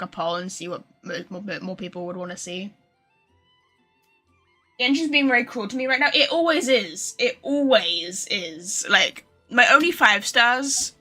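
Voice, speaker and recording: A woman's voice: mid-range voice, sharp tone. A woman livestreaming a video game, chatting casually to viewers in a rambling, informal, sweary way.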